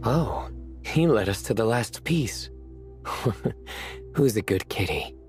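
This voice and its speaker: Cocky young adventurer, teasing, unhurried pace, animated pitch, video game dialogue, music behind. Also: male voice. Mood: relaxed, amused. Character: cocky, teasing young male desert duelist.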